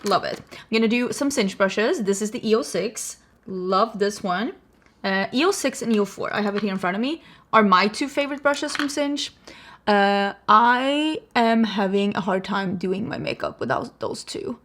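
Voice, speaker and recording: A woman's voice: medium pitch, animated, moderate pace, fairly bright tone, slight background noise. A woman from Sweden with a Swedish accent, chatting casually through a makeup tutorial in a self-deprecating, laughing way. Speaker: beauty vlogger.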